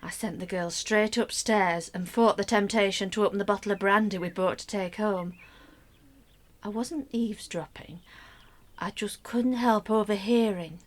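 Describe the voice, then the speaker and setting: An actress playing a wistful middle-aged mother in a radio play monologue, confiding and measured, often dropping almost to a whisper. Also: mid-range voice, natural voice. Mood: wistful, sad. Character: middle-aged wife and mother, wistful, secretly grieving a love affair. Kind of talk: intimate dramatic monologue, scripted radio play narration.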